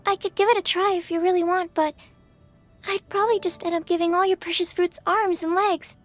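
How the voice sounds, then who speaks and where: A shy young female alchemist in a video game. Her voice is extremely high, and she explains things earnestly and politely, often hesitant and apologetic. Faint music sits underneath. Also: steady tone, brisk.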